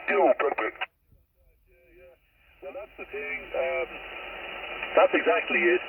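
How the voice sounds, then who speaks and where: Creaky, high-pitched Irish man on crackly radio. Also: wildly swooping pitch, measured pace, recorded from a distance. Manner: chatty, frequent "you know" asides. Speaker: amateur radio operator. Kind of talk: casual radio chat. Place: out on a high hill spot. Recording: crackly narrow-band radio link, faint noise.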